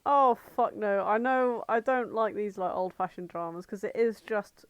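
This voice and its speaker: A woman's voice: medium pitch, normal speed, clean tone, no echo, dull audio. A woman co-hosting a podcast, chatting casually and comedically in a blunt, irreverent way. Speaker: podcast co-host.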